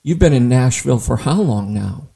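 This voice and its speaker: A man hosting an interview, joking and tossing in quick asides as he chats with his guest. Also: medium pitch, animated, vocal fry, dark tone, breathy edge. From Ireland. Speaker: podcast host.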